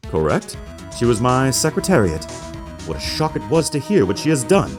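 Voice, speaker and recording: A man's voice: medium pitch, very animated, moderate pace, music in the background, close to an everyday voice. Man playing a pompous, wildly swooping company president. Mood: haughty, dismissive. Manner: pompous, grandiose, mangles big invented words. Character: pompous, smug male company president, flamboyantly arrogant. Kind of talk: theatrical video game fan dub.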